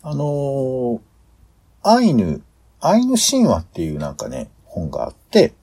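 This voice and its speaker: Man with very animated, swinging intonation. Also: mid-range voice, unhurried, slightly rough. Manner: hesitant, searching for words as he talks. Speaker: podcast host. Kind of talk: casual planning discussion.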